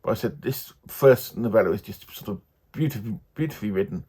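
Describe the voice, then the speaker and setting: Low, husky man, halting casual talk. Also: mellow. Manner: hesitant, halting, rambling. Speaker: book reviewer. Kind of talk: casual unscripted book review.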